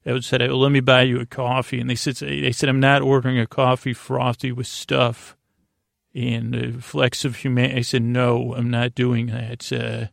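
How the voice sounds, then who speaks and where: A man hosting a bedtime podcast in a creaky, mumbling voice, meandering off on tangents. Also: mid-range voice, monotone, moderate pace, no echo, studio quality. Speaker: podcast host. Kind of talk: rambling bedtime podcast intro.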